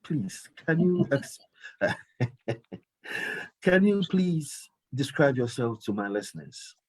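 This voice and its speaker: A man's voice: medium pitch, sing-song, takes it slowly, rough recording. A man hosting a live call-in radio show, talking emphatically and often repeating his phrases. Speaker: radio host.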